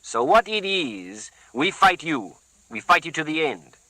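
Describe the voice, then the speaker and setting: A man's voice: fairly high pitch, very animated, a little slow, sharp tone, no echo, pitched above the performer's own voice, exaggerated voice, low-quality recording. A man voicing a blunt, hostile young Puerto Rican man with a Puerto Rican Spanish accent who threatens in broken English. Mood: threatening.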